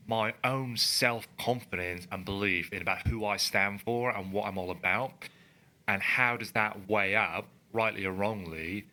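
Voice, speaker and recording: A man co-hosts a relaxed studio podcast, speaking in a low-pitched but very bright, forceful voice. He is earnest and emphatic and works his thoughts out aloud as he goes. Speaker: podcast co-host. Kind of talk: casual conversational podcast. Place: studio in a building. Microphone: studio microphone.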